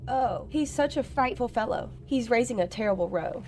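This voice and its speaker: A woman's voice: on the high side, animated, normal speed, a little mellow, slight background noise, close to an everyday voice. A woman playing an anxious, irritable British science secretary in a radio drama, speaking emphatically. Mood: irritated. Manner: emphatic, old-fashioned British turns of phrase. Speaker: actress. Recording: faint music behind a student radio play.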